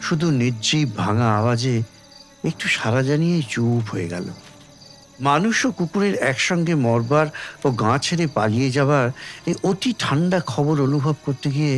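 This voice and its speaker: A man reading literary fiction as dramatic audiobook narration, measured, with deliberate pauses between phrases. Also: medium pitch, animated, soft background music. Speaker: story reader.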